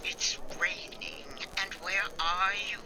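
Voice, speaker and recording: A woman's voice: fairly low pitch, level tone, takes it slowly. A woman playing a spy's wistful, teasing young sweetheart in a 1940s radio drama. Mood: wistful, reproachful. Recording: clear ambient noise behind.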